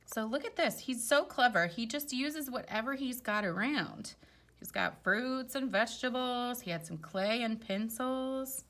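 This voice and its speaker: A woman's voice: on the high side, animated, a little slow, a bit bright. A woman teacher reading a children's storybook aloud expressively, with chatty explanatory asides for young listeners.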